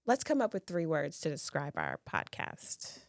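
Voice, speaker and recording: Low-voiced woman co-hosting a chat podcast. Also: wildly swooping pitch, moderate pace, creaky, no room sound, clean studio sound. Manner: chatty, laughs often. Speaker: podcast co-host.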